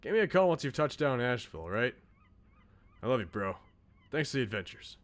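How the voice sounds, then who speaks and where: A man's voice: mid-range voice, very animated, a little fast, close to an everyday voice. A man voicing a laid-back, loyal young guy in a visual novel, casual and earnest with slangy talk. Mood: warm farewell.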